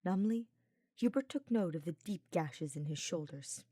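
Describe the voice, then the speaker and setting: Low-voiced woman narrating a story. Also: expressive, moderate pace, dark tone, no room sound, studio quality. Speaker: fan fiction audiobook reader.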